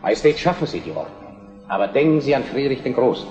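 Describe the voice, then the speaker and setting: An actor playing a shrewd, controlled Prussian prime minister, deferential and measured, in a German historical radio drama. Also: male voice, mid-range voice, very animated, a little mellow, highs cut off, soft background music, close to an everyday voice. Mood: measured, persuasive. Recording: radio play in echoing hall.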